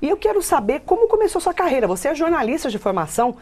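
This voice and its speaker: A woman's voice: high-pitched, sing-song, quick pace, a little mellow. A woman with a Brazilian accent hosting a television interview in a conversational style. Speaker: TV interview host.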